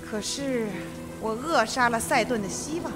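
Woman acting a high-voiced, stern female judge. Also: measured pace, music in the background, close to an everyday voice. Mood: cold, firm. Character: composed, stern older female judge, cold and self-assured. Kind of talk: radio drama acting.

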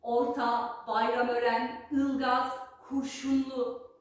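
A woman's voice: on the high side, little pitch movement, takes it slowly. A woman telling a children's folk tale as a storyteller, with rhythmic, rhyming, theatrical delivery. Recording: very echoey space, distant microphone.

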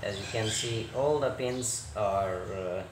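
Male repair technician, tutorial read: slow, halting non-native phrasing, echoey room with noise. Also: fairly low pitch. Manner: halting, fragmented instructional delivery with non-native English phrasing. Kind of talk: step-by-step hands-on repair tutorial. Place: indoor repair workbench. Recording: roomy echo, clear background noise.

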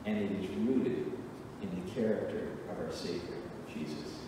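A middle-aged man preaching a sermon from a church pulpit, earnest and emphatic with measured pauses. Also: deep voice, background noise. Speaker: pastor. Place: church sanctuary, at a wooden pulpit. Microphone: pulpit mic, recorded from a far camera. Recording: echoey church hall from far off.

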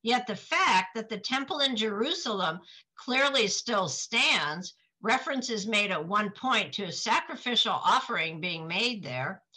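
Older female presenter, crisp bright delivery of a read-aloud lecture. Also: mid-range voice, poor audio. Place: indoor room at home, on a video call.

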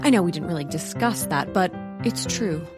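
A woman's voice: on the low side, animated, moderate pace, over music, close to an everyday voice. A woman playing a wry narrator, delivering scripted comic story narration in an audio drama. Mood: wry, dramatic.

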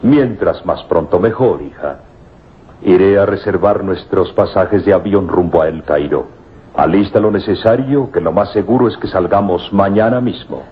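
An actor playing an earnest, fatherly professor with a measured, formal manner in an old radio drama. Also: low voice, breathy edge. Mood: excited. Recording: dull old radio recording, faint noise.

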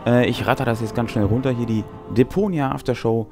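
A middle-aged man, sick and tired, hosting a casual, colloquial recap of a tabletop role-playing game and explaining it with dramatic pauses. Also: medium pitch, steady tone. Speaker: host and game master. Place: small furnished room with brick wall. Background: music.

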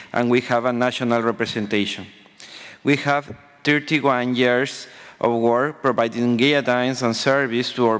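A man in his forties from Ecuador with a Spanish accent reads a prepared conference speech. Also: mid-range voice. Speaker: conference presenter. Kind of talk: prepared speech read from notes. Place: large conference hall panel table. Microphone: table microphone. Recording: reverberant conference hall microphone.

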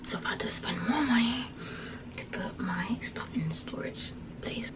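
A woman's voice: fairly high pitch, animated, unhurried. A young woman chatting casually as a travel vlogger, full of fillers and often dropping to a whisper. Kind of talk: casual vlog chat.

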